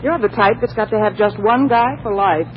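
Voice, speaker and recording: A woman's voice: mid-range voice, normal speed, lightly acted. Dry, wisecracking roommate played by a woman, muffled radio. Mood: dry, grumpy. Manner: dry, drawling, bossy. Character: wisecracking, worldly roommate, a thrice-married woman. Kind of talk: 1940s radio comedy acting. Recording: dull, noisy 1940s radio recording.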